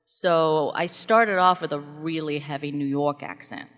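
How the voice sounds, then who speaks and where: A middle-aged woman with a General American accent talks as a guest at a live event, animated and quick with asides. Her voice sits low yet sounds bright and forceful. A long reverb from the hall trails each phrase. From came to the US as a child. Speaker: guest actress on stage. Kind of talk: live stage interview.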